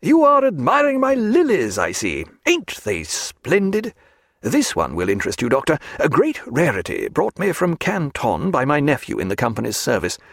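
A man reading aloud voices a fussy, excitable old naval captain who blusters as he talks. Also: a little high, moderate pace, creaky, dry recording, clean studio sound, pitched above the performer's own voice, heavily acted. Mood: blustering.

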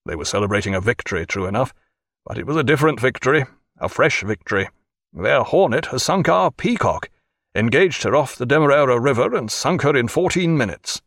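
A man's voice: mid-range voice, expressive, brisk, a bit bright, dry recording, studio quality. A man voicing a blunt, commanding, mischievous naval captain in an audiobook. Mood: gloomy, dogged.

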